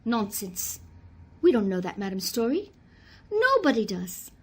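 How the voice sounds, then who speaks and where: Woman acting posh anxious lady, radio play, raised pitch, husky edge, formal, theatrical. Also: big pitch swings, unhurried, exaggerated voice. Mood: anxious, indignant. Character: anxious, proper high-society lady avoiding scandal. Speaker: actor in an amateur radio play. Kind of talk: radio mystery drama acting.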